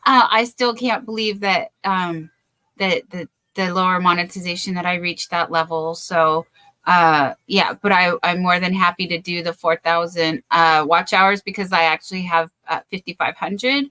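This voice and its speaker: A woman's voice: on the low side, steady tone, moderate pace, bright, forceful tone, smooth. A woman hosting a casual crochet live stream from the United States, bubbly and chatty, thanking viewers by name.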